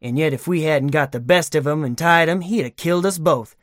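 A man's voice: fairly high pitch, a little fast, a bit bright, no echo, clean studio sound, close to an everyday voice. A man voicing a gloating river thief who mocks a captive in a raised, sneering voice for a storybook reading. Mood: mocking gloat. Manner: mocking, gloating. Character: gloating, cool-headed river thief with a lantern.

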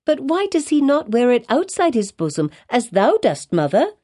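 A lively little girl played by a woman narrator, pitched high and full of curiosity. She exclaims and questions insistently, with a playful, pert air from an old storybook. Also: moderate pace. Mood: eager, inquisitive. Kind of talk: dramatized audiobook reading in archaic English.

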